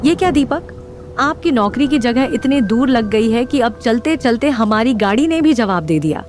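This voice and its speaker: A woman's voice: a little high, animated, moderate pace, a little mellow, natural voice. A woman playing a fretful, complaining, easily scared young woman in a horror audio drama. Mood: annoyed.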